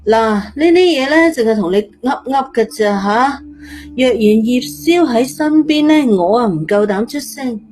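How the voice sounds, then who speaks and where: Woman playing a cheeky, high-voiced Cantonese teenage girl. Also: expressive, a little slow, darkish tone, soft background music. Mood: teasing. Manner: cheeky, blunt, colloquial, muttering to herself. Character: brash, chatty red-haired teenage girl with a morbid streak.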